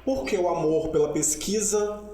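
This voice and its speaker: A man's voice: high-pitched, normal speed, dark tone, reverberant. A man hosting as a journalist, asking questions in a playful, casual way. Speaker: journalist host. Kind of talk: casual chat.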